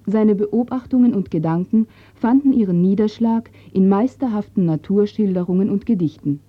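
A woman narrating a radio feature, reading a script in a calm, even way. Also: mid-range voice, moderate pace, no room sound, narrow, tinny audio.

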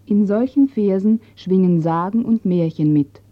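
A woman narrating a radio feature, reading a script in a calm, even way. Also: medium pitch, unhurried, dry recording, narrow, tinny audio.

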